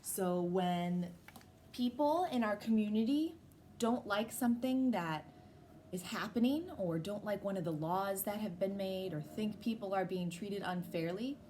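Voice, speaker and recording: A woman's voice: medium pitch, a little slow, warm tone. A woman teacher reading aloud to young children and explaining things simply as she goes. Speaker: teacher reading a picture book to a class. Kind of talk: read-aloud with explanatory asides. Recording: noisy background.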